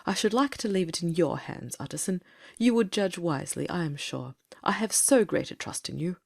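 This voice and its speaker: A woman playing a troubled, low-voiced Victorian doctor. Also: lively intonation, moderate pace, gravelly, darkish tone. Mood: uneasy. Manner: fervent, formal, anguished. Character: troubled, earnest middle-aged male Victorian doctor. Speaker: audiobook cast reader. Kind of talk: dramatic reading of Victorian fiction.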